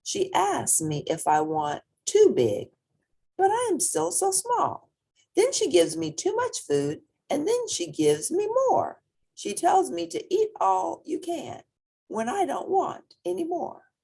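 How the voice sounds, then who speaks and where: A woman voicing a small, puzzled, plaintive child in an animated storybook reading. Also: very high voice, takes it slowly, vocal fry, voice pushed up, heavily acted. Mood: plaintive, overwhelmed.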